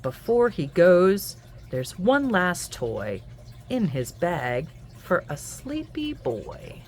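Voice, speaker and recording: Slow, very animated woman reading a picture book. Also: fairly low pitch, slight background noise. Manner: animated, singsong, deliberate storytelling. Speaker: children's book reader and host. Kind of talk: expressive picture-book read-aloud.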